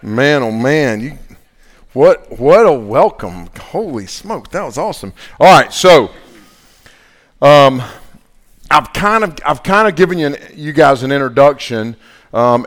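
A man, casually hosting a couples panel at a live event, relaxed and chatty. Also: on the high side. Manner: relaxed, chatty, laughs often.

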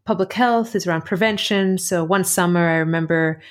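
A woman's voice: on the low side, normal speed. A middle-aged woman from Greece with an American accent, telling personal stories as an interview guest. She talks conversationally and thinks aloud, correcting herself as she goes. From Athens, Greece. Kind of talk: reflective personal interview storytelling.

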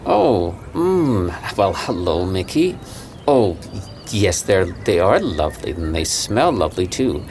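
Man playing low-voiced, awkwardly polite older gentleman. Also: sing-song, slow pace, a little hiss or hum, full character voice. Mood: politely uneasy. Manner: hesitant, polite. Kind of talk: audio drama acting.

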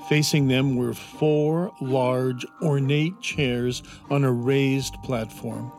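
Casting: male narrator for children's fantasy drama, unhurried scripted storytelling, mid-range voice, faint music beneath. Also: mid-range voice, steady tone, creaky, a little mellow. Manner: measured, descriptive.

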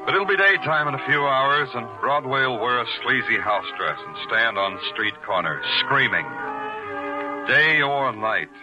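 An actor playing a world-weary, poetic police detective, narrating a 1940s noir radio drama in a brooding, lyrical way. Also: male voice, medium pitch, lively intonation, unhurried, highs cut off, over loud music, natural voice. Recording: vintage radio recording.

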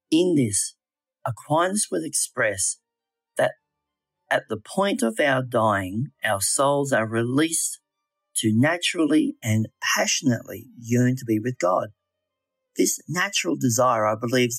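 A man reads a scripted spiritual reflection in an earnest, deliberate manner. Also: medium pitch, dry recording, low-quality recording. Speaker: lay reflection speaker. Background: background music.

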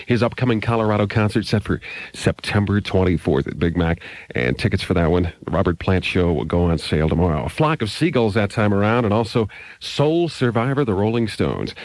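A man's voice: fairly low pitch, animated, fairly quick, no echo. A man working as a radio DJ and interviewer, announcing in a 1980s rock radio style on an old hissy cassette.

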